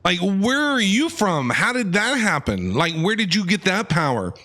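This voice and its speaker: A man streams a game with casual running reactions. His voice is bright and forceful, fairly high and very lively in pitch, as he asks questions and repeats himself. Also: moderate pace. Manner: chatty, inquisitive, repeats himself. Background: faint game music.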